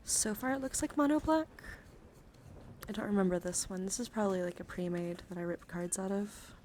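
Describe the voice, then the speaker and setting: A soft-spoken woman doing a casual ASMR live stream, often slipping into whispers and rambling informally, with playful asides. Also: mid-range voice, fairly quick. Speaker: streamer, host of a live card-collection stream. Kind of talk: ASMR, casual live show-and-tell with chat. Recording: clear background noise and handling sounds.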